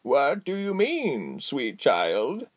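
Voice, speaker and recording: A man voicing a weary, grumbling but caring old woman with a raspy voice in a storybook reading. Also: medium pitch, wildly swooping pitch, very slow, voice pushed up, exaggerated voice. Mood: weary, coaxing.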